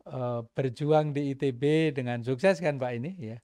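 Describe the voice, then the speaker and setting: A man's voice: medium pitch, fairly even intonation, measured pace, dark tone, no room sound, clean studio sound. A man hosting a podcast interview, nodding along with frequent yeses and prompting the guest with follow-up questions. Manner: agreeable, prompting with frequent backchannels. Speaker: podcast host.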